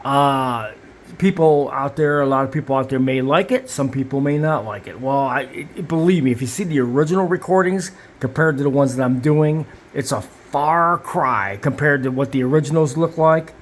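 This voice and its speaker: A man's voice: mid-range voice, normal speed. A middle-aged man giving a casual, off-the-cuff explanation in an emphatic, repetitive, boastful way, like a seasoned specialist. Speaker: video tape restoration specialist. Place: television equipment studio.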